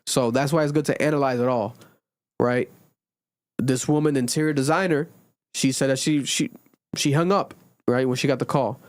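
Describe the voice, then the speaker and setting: A man in his twenties hosting a casual podcast, talking animatedly and jumping high in pitch when he jokes. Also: moderate pace, long pauses, dry recording, studio quality. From Rhode Island, USA. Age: 20s. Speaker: podcast host. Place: home recording during a blizzard.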